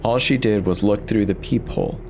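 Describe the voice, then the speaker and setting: A man's voice: on the low side, a little fast, gravelly, noisy background. A man giving an intense, theatrical first-person reading of a horror story as its narrator.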